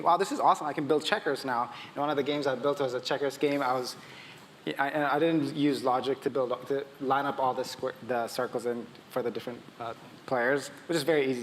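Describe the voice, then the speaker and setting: A man from Ethiopia speaking as a startup founder on a conference panel, conversational, often restarting his sentences mid-thought. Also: mid-range voice. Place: conference stage in a large hall. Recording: echoey hall with long reverb, audible noise.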